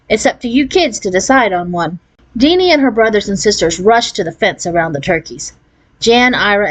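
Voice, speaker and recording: A woman narrating a children's novel in an expressive read-aloud style. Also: mid-range voice, rough recording.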